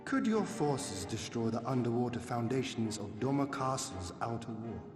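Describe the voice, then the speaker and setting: An actor as a resolute lord in a reverberant hall. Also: male voice, mid-range voice, lively intonation, lightly acted. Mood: determined. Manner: formal, commanding, deliberate. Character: resolute young male lord rallying his forces. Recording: roomy, long reverb, clear music behind.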